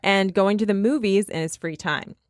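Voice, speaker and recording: A woman's voice: mid-range voice, normal speed, no echo, studio quality. A woman with a Midwestern accent hosting a podcast, telling a story in casual chat and laughing often. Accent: Midwestern American. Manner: chatty, laughs often, reads quotes aloud. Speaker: podcast host.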